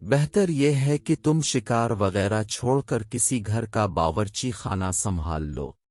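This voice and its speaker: A man's voice: fairly low pitch, lively intonation, a little slow, a little mellow, no room sound, clean studio sound. A man playing a boastful, bickering teller of tall tales in a children's audio story. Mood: mocking. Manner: bragging, one-upping. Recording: voice over background music.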